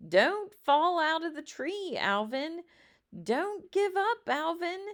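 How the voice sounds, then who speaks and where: A woman voicing a bossy, excitable young girl in a storybook, calling out in an urgent shriek. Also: very high-pitched, slow, pitched above the performer's own voice, exaggerated voice. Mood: urgent, shrill.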